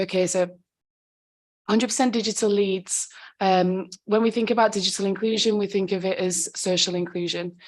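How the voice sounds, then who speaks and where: A woman with a sore throat and a husky voice, a council digital inclusion officer presenting a report to a committee in a conversational way, with frequent ums and self-corrections. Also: lowish voice.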